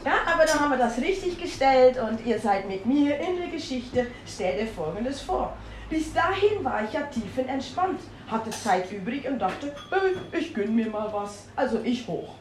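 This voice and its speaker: A Dutch-accented woman reads a comic story aloud in a high, lively voice that swoops up and down. She is playful and self-mocking and bursts into exclamations. The room sounds roomy, with a faint hum of ambience. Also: normal speed. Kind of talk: reading a humorous story aloud.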